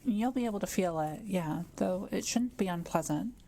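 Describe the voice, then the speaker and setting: A woman playing a kind, patient witch in an intimate audio roleplay, explaining things gently and carefully. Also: medium pitch, normal speed, creaky. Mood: patient, explanatory. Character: kind, quiet-living adult witch, patient and reassuring.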